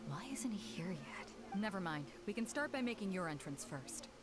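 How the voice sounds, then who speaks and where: A woman voicing a brisk young tournament announcer in a video game, with a theatrical delivery. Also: medium pitch, lively intonation, over music, natural voice. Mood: impatient. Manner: theatrical, proclamatory.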